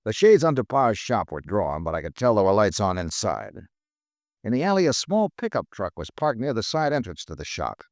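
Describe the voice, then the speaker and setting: A wry radio detective. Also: male voice, lowish voice, sing-song, fairly quick, a little mellow, no room sound. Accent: American. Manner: wry, dry first-person narration. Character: wry insurance investigator narrator, persistent. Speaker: lead and narrator. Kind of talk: 1950s radio drama acting.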